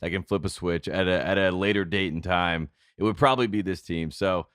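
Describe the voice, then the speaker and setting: A man with a fairly low voice trades easygoing banter on a sports podcast, laughing often and pushing back playfully. He is close-miked in a dry studio. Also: a bit bright, clean tone, no room sound. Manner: laid-back, quick to laugh, defensive fan banter. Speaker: podcast co-host. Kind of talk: casual sports chat.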